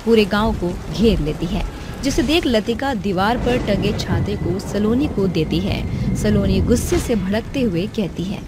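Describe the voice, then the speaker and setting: A woman's voice: medium pitch, expressive, unhurried, heavy background noise. A woman narrating a scripted story in a dramatic storytelling style.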